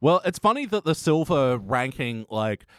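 A man hosting a podcast with casual, animated review banter and a little mild swearing. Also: mid-range voice, moderate pace, smooth, no room sound, clean studio sound. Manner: animated, conversational, mildly sweary. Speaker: podcast host.

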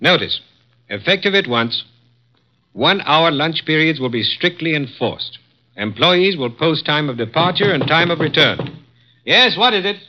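A man plays a cold, petty executive in a 1950s radio play. His words are curt and imperious, with sharp swings in pitch. The sound is dull and lo-fi. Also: animated, normal speed, natural voice. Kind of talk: 1950s radio drama acting.

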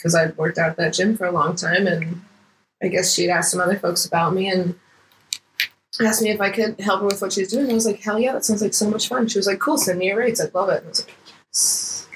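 A woman's voice: medium pitch, brisk. A woman in her late twenties co-hosting a casual group podcast, joking as she chats. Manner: joking, animated. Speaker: podcast co-host. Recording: echoey room, clear background noise.

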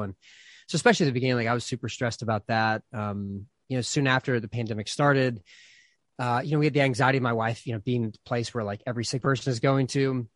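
A man's voice: mid-range voice, dry recording. A pastor and author in his thirties talking casually in an interview, rambling with lots of fillers and restarts.

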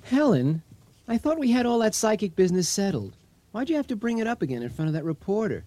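An actor playing a skeptical but obliging husband in a radio drama, conversational with an impatient edge. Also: male voice, high voice, big pitch swings, quick pace, warm tone, no room sound. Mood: exasperated.

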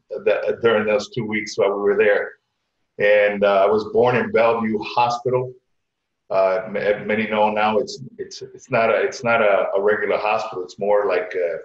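A middle-aged man from Connecticut telling personal stories casually as a podcast guest, haltingly, often repeating words. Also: fairly low pitch. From Connecticut, United States. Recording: echoey room, dull lo-fi sound.

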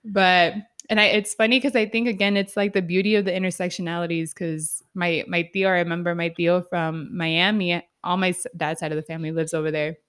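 A woman hosting a relaxed podcast conversation, her voice sitting a little low. She talks in animated bursts, laughs often and restarts her sentences as she goes. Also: fairly quick, few pauses, clear, resonant tone. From Honduran family in the U.S. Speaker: podcast host. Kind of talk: casual chat.

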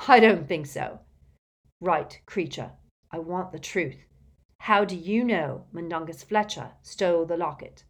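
A woman's voice: lowish voice, a little slow. Woman playing a fierce, commanding teenage boy. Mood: demanding. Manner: forceful, demanding, interrogating.

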